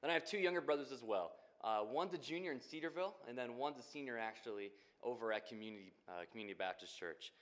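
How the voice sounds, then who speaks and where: A man in his twenties speaks with an American accent and a medium-pitched voice. He addresses a congregation informally, telling his own story in a chatty way with casual asides. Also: lively intonation, quick pace, hardly any pauses, a bit bright. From Northwest Indiana, United States. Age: 20s. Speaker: youth minister candidate. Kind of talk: informal personal testimony to a congregation.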